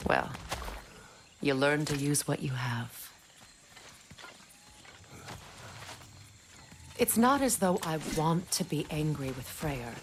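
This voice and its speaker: An actress playing a proud, reflective goddess warrior in a video game, speaking in a measured, earnest, wistful way. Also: female voice, low voice, lively intonation, a little hiss or hum. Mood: bitter, reflective. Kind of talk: dramatic video game acting. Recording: loud game music behind the voice. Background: loud music.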